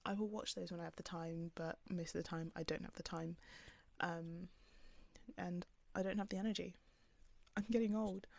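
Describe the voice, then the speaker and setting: Young woman vlogger, soft calming voice, lowish with some fry, loose filler-heavy chat. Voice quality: calm, soft voice. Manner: rambling, filler-heavy with many "like"s. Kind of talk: casual talk to camera. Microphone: camera on the speaker.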